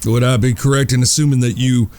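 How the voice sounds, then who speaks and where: A man's voice: medium pitch, steady tone, fast, a little mellow, lightly acted. A man playing a polite, wry Old West traveler in a Western audio drama, with a folksy, courteous way of talking. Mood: curious, polite.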